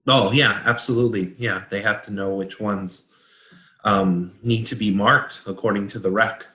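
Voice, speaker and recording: A man speaking as a consultant, explaining a standard in a measured, informal discussion. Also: on the low side, steady tone, pushed and bright. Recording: echoey room.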